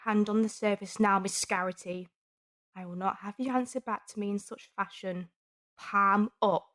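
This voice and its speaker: A woman's voice: medium pitch, fairly even intonation, moderate pace, a bit bright, lightly acted. A woman playing a cold, punitive factory forewoman with a strict, formal, clipped manner in an audio drama. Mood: threatening.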